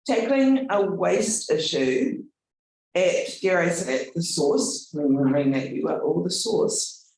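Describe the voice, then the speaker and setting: A man's voice: high voice, darkish tone, slight background noise. A man with a New Zealand accent gives a formal launch speech as a university leader, earnest but slipping in light self-deprecating jokes. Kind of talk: formal welcome speech with jokey asides. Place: large event room with an audience. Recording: very echoey room, voice from far off.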